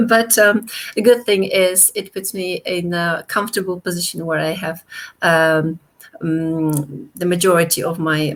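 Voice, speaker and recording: A woman's voice: lowish voice, a bit bright. A woman translator whose first language is Polish, talking hesitantly with many fillers on a video call panel. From Poland. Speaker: translator guest. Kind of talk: casual panel discussion on a call.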